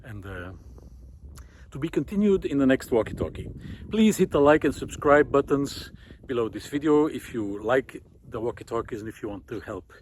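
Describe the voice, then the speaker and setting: A man speaking in a reflective, halting monologue as he walks outdoors, hosting his own video series with thoughtful pauses. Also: medium pitch, lively intonation, darkish tone. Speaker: host of a walking video series. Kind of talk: reflective monologue while walking. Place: outdoors on a country road in hilly farmland. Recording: outdoor recording with clear background noise. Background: outdoor ambient noise.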